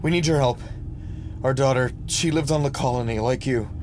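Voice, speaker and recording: A man's voice: medium pitch, moderate pace, a little mellow, a little hiss or hum, natural voice. An actor playing an anxious, pleading father in a sci-fi audio drama. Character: anxious, pleading father searching for his daughter.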